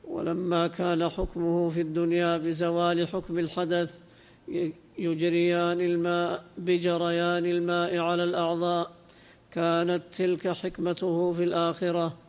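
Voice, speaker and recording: A man reading a classical religious text aloud as a student in a lesson, in a formal, measured, half-chanted recitation. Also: high voice, completely flat delivery, clean tone. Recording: long hall-like reverb.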